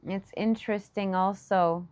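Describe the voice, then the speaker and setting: Woman, meditation teacher, slow pace, gentle and soothing, long pauses, dry close sound. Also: medium pitch, fairly even intonation, no room sound. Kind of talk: guided meditation, soft unscripted instruction.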